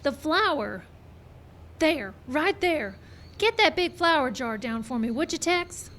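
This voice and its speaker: A woman playing a sharp, confident Wild West sleuth in an old-time radio mystery style, performed live. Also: high voice, sing-song, slow, exaggerated voice. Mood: confident, explaining. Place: live show in a park. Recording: live outdoor stage, some background noise.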